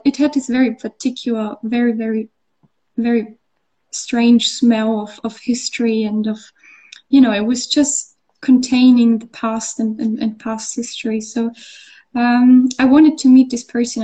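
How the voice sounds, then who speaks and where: A woman from Austria speaks as the guest in a relaxed interview. She pauses often and restarts her sentences. Her voice is mellow and fairly high, but her pitch stays quite even. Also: rough recording. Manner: hesitant, many fillers and restarts. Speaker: artist interviewee. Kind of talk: conversational interview.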